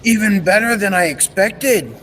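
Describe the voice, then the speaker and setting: A young man playing a fussy, excitable inventor in an old-time radio serial. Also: sits high, moderate pace. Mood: pleased, assured. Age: young adult. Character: excitable male scientist and inventor proud of his machine. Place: school stage production. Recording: echoey hall with background noise.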